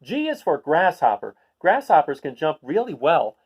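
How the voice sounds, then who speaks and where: A man's voice: fairly high pitch, wildly swooping pitch, normal speed, slightly rough. A man hosting a read-aloud, reading a children's picture book and adding casual, explanatory asides for young listeners.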